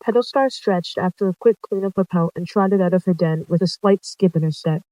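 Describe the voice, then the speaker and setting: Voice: medium pitch, fairly quick, warm tone, dry recording, low-quality recording. A storyteller reading out a scripted animal roleplay tale, narrating and voicing all the characters.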